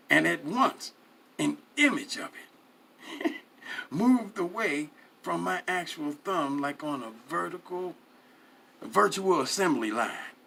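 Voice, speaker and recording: A man reads a first-person story aloud with dramatic flair. His voice is husky and forceful, with a gravelly creak at a middling pitch. He swells on key phrases and drops low on others, keeping the delivery animated and expressive. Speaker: story reader.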